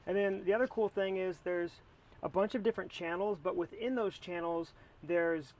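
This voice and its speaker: A man's voice: high voice, normal speed, a little mellow, rough recording. An American man talking casually to camera as he reviews a product.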